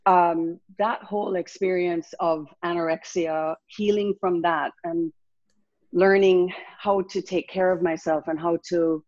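A woman's voice: low voice, a little mellow, dry recording, muffled recording. A woman in her sixties from Jamaica with a Jamaican accent, a wellness coach reflectively telling her life story as an interview guest. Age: 60s. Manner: reflective, unhurried, many fillers and restarts.

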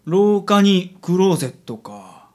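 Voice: mid-range voice, very animated, slow, a little mellow. An actor playing a blunt, self-assured male detective with a Kansai accent in a radio drama. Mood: businesslike.

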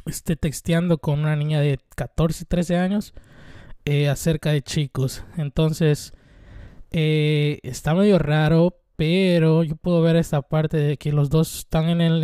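A man's voice: a little high, mellow, clean tone, no echo, clean studio sound. Rambling, swearing young Mexican man. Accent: Mexican Spanish. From Mexico (Veracruz). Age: 20s. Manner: rambling, irreverent, swears freely, many fillers. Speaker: podcast host. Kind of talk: casual solo monologue.

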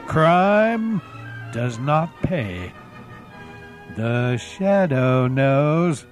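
A man hosting an old-time radio program, introducing it with theatrical, drawn-out emphasis. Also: on the high side, big pitch swings. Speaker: show host. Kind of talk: scripted-sounding radio show introduction. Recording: loud background music under voice. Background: mysterious music.

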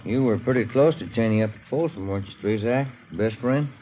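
An actor playing a weary police detective partner in a 1950s radio drama, dry, slangy and resigned. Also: male voice, on the low side, fairly quick, close to an everyday voice. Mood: businesslike. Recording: muffled, faintly noisy old radio recording.

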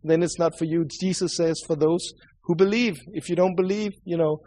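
A man with a Swedish accent preaching a sermon and praying, earnest and halting, often restarting and repeating words. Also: on the high side, steady tone, warm tone. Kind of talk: sermon with prayer.

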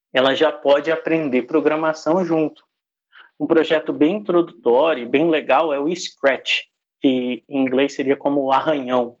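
Brazilian man explaining tech, dull lo-fi sound. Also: mid-range voice. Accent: Brazilian Portuguese. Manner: patient, explains step by step with examples. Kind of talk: unscripted explanatory talk.

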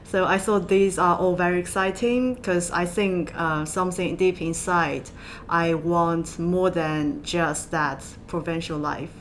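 A woman from southwest China with a Chinese accent, answering interview questions in halting, hesitant phrases. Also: steady tone. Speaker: podcast guest, accountant. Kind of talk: interview conversation. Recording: low rumble and background noise.

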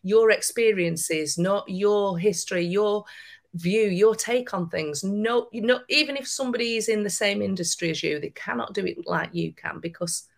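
A woman's voice: medium pitch, moderate pace, vocal fry. A woman with a broad Lancashire accent, a podcast guest giving encouraging, emphatic advice. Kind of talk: podcast interview.

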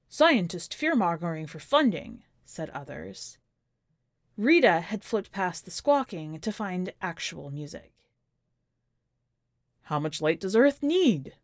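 A woman narrating literary fiction from a script, with measured, deliberate phrasing in short clipped sentences. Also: mid-range voice, dry recording, studio quality. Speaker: podcast host reading a short story.

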